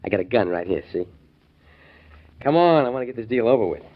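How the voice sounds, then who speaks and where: A man's voice: on the low side, animated, fast. An actor playing a sly, cocky man with a chuckling manner in a 1940s radio drama. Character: greedy sly man, shot near the end. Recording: dull old radio recording with faint hiss.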